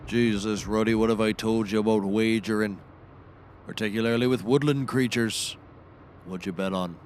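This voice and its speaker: An actor playing a folksy, dryly sarcastic backwoods man in a scripted comedy, with deadpan delivery. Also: fairly low pitch, moderate pace, a little hiss or hum, natural voice. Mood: dry, wry. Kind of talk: scripted audio comedy acting.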